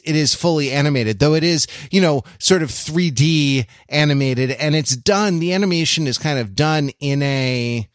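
A man co-hosting a podcast, talking through films casually in a halting, discursive way with many false starts. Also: medium pitch, dry recording, clean studio sound. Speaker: podcast co-host.

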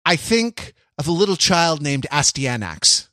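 Bright dry-voiced laughing man. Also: a little high, big pitch swings, measured pace, no echo. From Los Angeles. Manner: laughs often, mock-grand speeches. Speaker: podcast co-host. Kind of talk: casual chat.

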